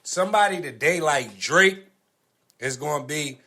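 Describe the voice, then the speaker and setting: A man with an American accent and a higher-than-average, very bright voice. He argues casually as a podcast co-host, pressing his case in slangy, insistent talk. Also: expressive, unhurried. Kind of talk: casual debate.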